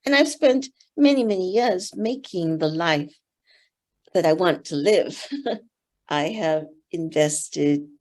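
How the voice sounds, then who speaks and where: An older woman from Singapore whose first language is Teochew, giving gentle, thoughtful interview answers with soft pauses. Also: fairly low pitch. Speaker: interview guest, board member.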